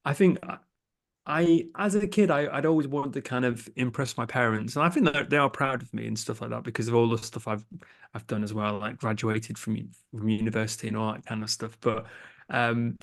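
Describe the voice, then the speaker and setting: A man's voice: mid-range voice, steady tone, fairly quick. A young man with an English accent, a podcast producer chatting as a guest in a casual interview, hesitant, with many fillers and restarts. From England.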